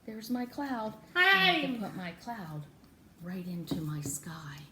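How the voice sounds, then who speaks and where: A woman runs a story time and craft lesson for little children. Her delivery is very animated, swinging widely in pitch and coaxing with questions and repeated words. There is clear background noise and clicking on the recording. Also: slow. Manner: animated, exaggerated, sing-song talk to small children. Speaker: storyteller and children's art teacher.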